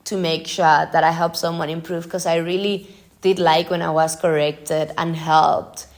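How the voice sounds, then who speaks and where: A young woman whose first language is Spanish hosts a solo podcast. Her voice sits a little low for a woman. She talks in a chatty, informal way, partly following notes and partly speaking off the cuff. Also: flat delivery, a little slow. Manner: chatty, informal, frequent use of "like". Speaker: podcast host.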